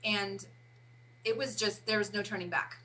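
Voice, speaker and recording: Woman, forties, interview guest, bright tone, halting, repeats words. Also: medium pitch, steady tone, low-quality recording. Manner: halting, searching for words with restarts and fillers. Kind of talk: casual interview chat.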